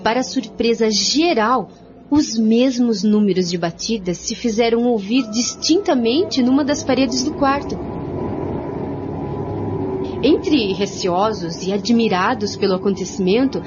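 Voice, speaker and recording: A woman's voice: fairly high pitch, lively intonation, a little slow. A woman narrating a scripted radio drama in a formal storytelling manner. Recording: muffled radio recording with music.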